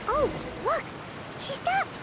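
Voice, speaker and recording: A woman voicing a tiny, excitable, easily scared fairy companion in a video game, with a squeaky, childlike voice. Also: slow, very noisy, heavily acted. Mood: urgent, excited. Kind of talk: video game character dialogue.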